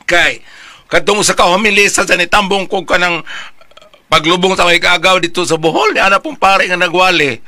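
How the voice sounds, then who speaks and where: An older Filipino man with a Cebuano accent chatting casually, telling stories and quoting scripture in a joking, animated way. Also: high-pitched, moderate pace, dry recording.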